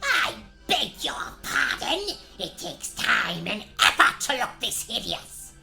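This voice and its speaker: A sly old witch in a comic fairy-tale radio play. She draws her words out very slowly and swings dramatically from mock-sweet to gloating. Music plays prominently behind her. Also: on the high side, very animated, gravelly, sharp tone, exaggerated voice. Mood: outraged. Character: sly, theatrical old witch posing as sweet little old lady.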